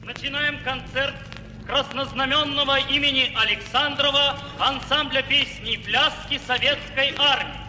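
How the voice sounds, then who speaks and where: A man's voice: extremely high pitch, steady tone, normal speed, bright, forceful tone, recorded from a distance, a little hiss or hum. A Russian man announcing a concert in a formal, declamatory way on an archival recording. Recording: roomy archival hall recording from afar.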